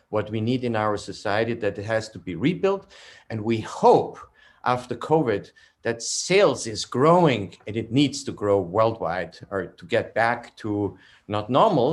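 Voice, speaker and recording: A man with an Austrian accent, a non-native speaker of English, giving earnest, halting closing remarks on a video call panel as a company founder. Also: lowish voice. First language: German.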